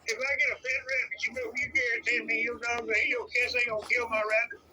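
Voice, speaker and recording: A man's voice: extremely high pitch, flat delivery, a little fast, sharp tone. A man who is a tenant and dog owner, defending himself in a folksy way during an argument on a noisy phone call. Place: heard over a phone call.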